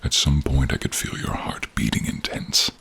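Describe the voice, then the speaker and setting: A man playing a gentle, protective male dragon in human form, speaking tenderly and haltingly in a deep, gravelly, husky voice for an audio role-play. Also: lightly acted. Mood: earnest, tender. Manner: tender, halting, hushed, drawn-out phrasing. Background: rain and cave ambience.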